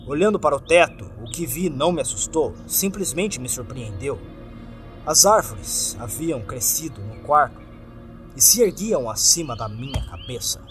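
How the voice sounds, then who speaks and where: A man's voice: medium pitch, big pitch swings, moderate pace, fairly bright tone. A man with a Brazilian accent narrating a scripted first-person horror story in a dramatic, suspenseful way. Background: background music.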